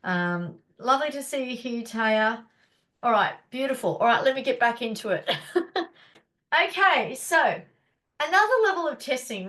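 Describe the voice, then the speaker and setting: A woman's voice: on the high side, fairly bright tone. Stuffy-nosed chatty woman. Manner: chatty, encouraging, many fillers. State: stuffy, blocked-up nose. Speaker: naturopath hosting a live session. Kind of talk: casual live-stream teaching.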